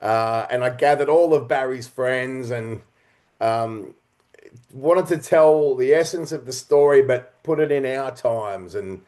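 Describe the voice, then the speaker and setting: Man, middle-aged, mid-pitched voice, interview storytelling, thoughtful pauses, earnest phrasing. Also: darkish tone. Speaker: interview guest, writer, director and performer.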